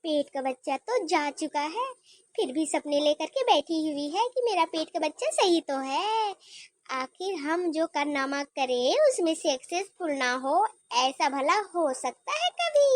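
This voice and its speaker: A woman's voice: very high-pitched, pushed and bright, no room sound. A woman playing a scheming elder daughter-in-law who fakes sympathy and whispers sly asides to the viewers in a homemade family drama skit.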